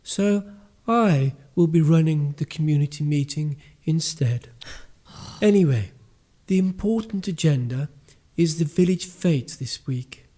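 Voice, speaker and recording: Mellow, fussy village vicar. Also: male voice, on the high side, unhurried. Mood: officious. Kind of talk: radio drama acting.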